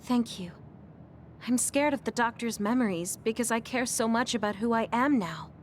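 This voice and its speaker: A woman playing a sweet, guileless young woman in a video game, speaking gently and politely. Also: fairly high pitch, normal speed, slight background noise, lightly acted. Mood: worried, sincere. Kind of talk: English video game voice acting. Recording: faint music behind the voice.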